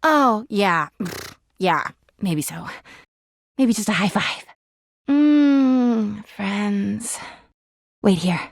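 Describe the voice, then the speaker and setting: An actress voicing a needy, volatile, lovesick living laundry hamper in a video game, melodramatic and possessive in manner. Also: female voice, medium pitch, animated, drawn-out words, gravelly, pauses often, dry recording, heavily acted. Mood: flirty, eager. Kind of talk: video game character acting.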